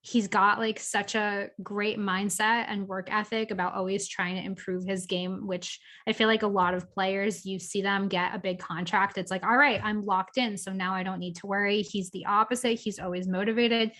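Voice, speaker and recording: A woman's voice: mid-range voice, steady tone, normal speed, hardly any pauses, fairly bright tone. A woman co-hosting a podcast, talking sports in a casual, opinionated way with plenty of filler words. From Boston area. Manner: chatty, opinionated, lots of "like" and "um". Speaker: podcast co-host.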